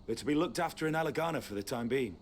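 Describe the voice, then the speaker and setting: A man's voice: mid-range voice, expressive, racing, a little hiss or hum, close to an everyday voice. An actor voicing a blunt, reassuring townsman in a video game, sharing news in a matter-of-fact way. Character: gossiping adult male townsman, blunt and reassuring.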